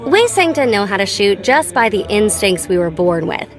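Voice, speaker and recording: A woman's voice: medium pitch, big pitch swings, normal speed, lightly acted. An American actress voicing a playful, nonchalant young female gun-tech tinkerer in a video game, with a light, girlish, teasing delivery. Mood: matter-of-fact. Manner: teasing, breezy, casual. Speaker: voice actor for a video game character. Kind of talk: scripted game character voice lines. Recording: studio voice over background game music. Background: background music.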